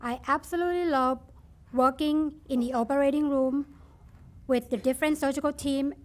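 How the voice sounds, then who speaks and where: Young woman from Thailand, Thai accent, high voice, student speaker reading a prepared ceremony speech. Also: fairly even intonation, moderate pace.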